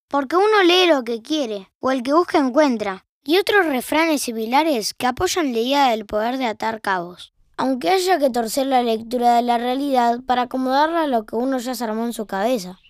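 An Argentine actress playing the wry, all-knowing narrator of a scripted domestic audio drama. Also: female voice, fairly high pitch, no echo, lightly acted. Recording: music bed behind a dry studio voice.

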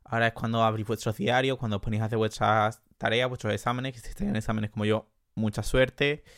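A young man with an Andalusian accent hosting a casual solo podcast from a bedroom, chatty and self-mocking, quick to laugh. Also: on the low side, fairly even intonation, fairly bright tone, no echo, studio quality. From Andalusia, Spain. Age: young adult. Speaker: podcast host. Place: his sister's bedroom.

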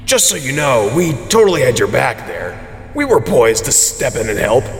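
A man playing an eager, boastful stone superhero with a gravelly voice in a parody audio drama. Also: medium pitch, sing-song, normal speed, faint music behind. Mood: sheepish. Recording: hall reverb.

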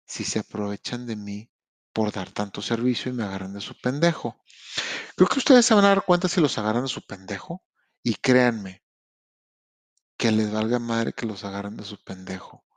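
A man's voice: medium pitch, darkish tone, no room sound. A man from Mexico with a Mexican accent, hosting a podcast and giving frank, slangy advice straight to his listeners, with frequent restarts. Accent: Mexican Spanish. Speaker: podcast host. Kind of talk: casual motivational podcast monologue. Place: lives in Canada.

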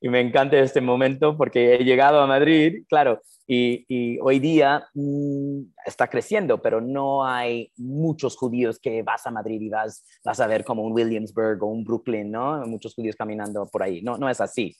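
A man's voice: medium pitch, steady tone, normal speed, hardly any pauses, darkish tone, no echo. A man in his forties with an American accent, a native English speaker chatting as a podcast guest, hesitant with many fillers. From New Jersey, United States. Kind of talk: casual interview chat.

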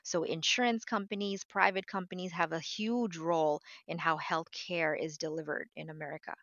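A woman's voice: lowish voice, fairly even intonation, normal speed, fairly bright tone, no echo. A young woman from Bangladesh who grew up in New York, talking candidly as an interview guest, with lots of fillers and restarts. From born in Bangladesh, raised in New York City. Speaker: guest, health insurance advisor. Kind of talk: casual interview talk. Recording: dull lo-fi audio.

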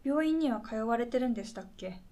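A woman's voice: a little high, expressive, fast, dark tone, close to an everyday voice. A woman acting in a conversational radio drama as a polite welfare caseworker, patient, reassuring and a little tentative. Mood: polite, professional.

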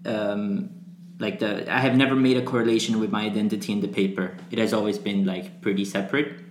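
A man's voice: on the low side, steady tone, fairly quick, reverberant, slight background noise. A young man from Brazil whose first language is Portuguese, chatting casually as a podcast guest, with lots of fillers and restarts. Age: young adult. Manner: rambling, many fillers and restarts. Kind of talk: casual conversational interview.

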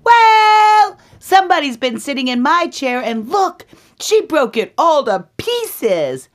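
A woman voicing a small, plaintive young bear cub in a children's story, loud and childlike. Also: high voice, sing-song, takes it slowly, pitched above the performer's own voice, exaggerated voice. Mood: upset.

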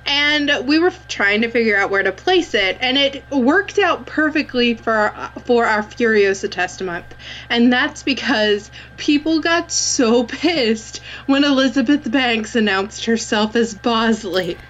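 A congested woman co-hosting a movie podcast, her voice sitting a little higher than most. She talks in a loose, chatty way, with plenty of fillers and quick laughs. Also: lively intonation, fairly bright tone, highs cut off. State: congested with a sinus infection. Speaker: podcast co-host. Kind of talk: casual chat.

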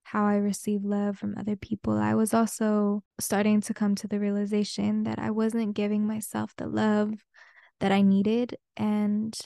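A young woman from Peru, whose first language is Spanish, hosts her own podcast in a reflective, open-hearted way. Her voice is warm and relaxed, and it sits in a middle range. The sound is dry and close, with no room around it. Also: mid-range voice, vocal fry, dry recording. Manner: earnest, open, casual with slang. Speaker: podcast host. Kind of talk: reflective solo podcast talk.

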